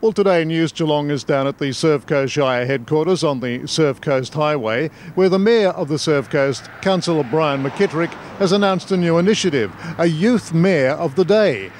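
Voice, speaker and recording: An Australian man works as a field news reporter, presenting and putting questions to guests. His voice is on the higher side for a man, and clear outdoor traffic noise runs behind him. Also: normal speed, few pauses, darkish tone. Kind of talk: on-location reporting and interviewing. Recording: noisy outdoor location, traffic ambience.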